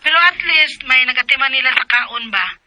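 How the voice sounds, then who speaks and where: A woman's voice: high voice, animated, a little fast, noisy background. A woman with a Cebuano accent acting a concerned, helpful friend passing on news in a radio drama. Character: concerned female confidante reporting news, helpful.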